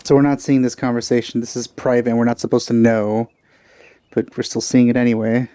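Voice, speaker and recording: A man's voice: medium pitch, flat delivery, a little fast, dark tone, clear, resonant tone, no room sound. A man giving casual commentary while he plays a video game. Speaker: video game let's player.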